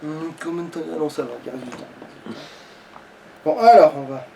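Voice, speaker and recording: A man's voice: on the high side, animated, normal speed, gravelly, mellow. A man chatting casually as he plays a game, sweary, grumbling and sarcastic. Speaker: gamer playing on a let's play. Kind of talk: casual gameplay chat. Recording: distant mic, background noise.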